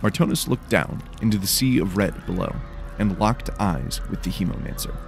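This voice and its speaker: Low, gravelly male fantasy narrator. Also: expressive, normal speed, faint music behind. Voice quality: somewhat tired, worn voice. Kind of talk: scripted dramatic narration of dark fantasy.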